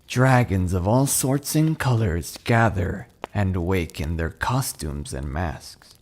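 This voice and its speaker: A man reading scripted story narration in a deliberate, atmospheric, theatrical manner. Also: fairly low pitch, darkish tone.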